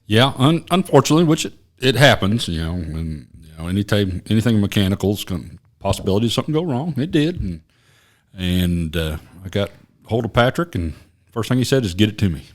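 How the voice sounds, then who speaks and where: Low-voiced guy from oklahoma, ex-cop, rambles with lots of uh's and restarts, podcast guest in a small office. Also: animated. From Oklahoma, USA. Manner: rambling, halting, with many fillers and restarts. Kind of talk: casual interview storytelling.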